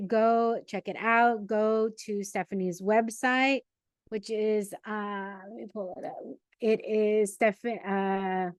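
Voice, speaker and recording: Woman podcast host, highish voice, chatty and lively, dry close mic. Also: smooth, dry recording. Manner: lively storyteller, mixes in Spanish words. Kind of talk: casual interview chat.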